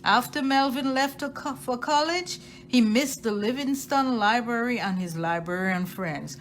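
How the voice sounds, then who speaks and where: A woman reading a children's picture book aloud expressively, with deliberate phrasing and emphasis on key words. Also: fairly high pitch, slight background noise. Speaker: storytime reader at a library.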